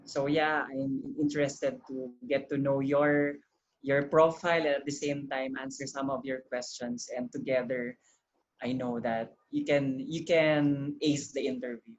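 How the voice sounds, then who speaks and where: A man's voice: medium pitch, steady tone, measured pace. A man from the Philippines introducing himself briefly and upbeat as a webinar panelist. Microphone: video call. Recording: dull video-call audio.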